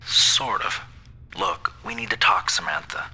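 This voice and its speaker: An actor playing a frightened young man, speaking haltingly in a hushed, gravelly, breathy voice in an audio drama. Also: lowish voice, in a whisper, big-room sound, exaggerated voice. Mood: uneasy, apologetic. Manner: halting, hushed, repeats words. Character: frightened, hesitant young man, the agent's lover.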